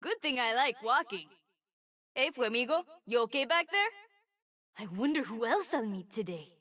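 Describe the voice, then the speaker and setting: A woman's voice: fairly high pitch, big pitch swings, moderate pace, fairly bright tone, distant mic. A woman voicing a cheerful, brave twelve-year-old boy fire mage in a video game, light, youthful and earnest. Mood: earnest, wistful. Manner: exuberant, earnest. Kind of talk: video game character voice lines.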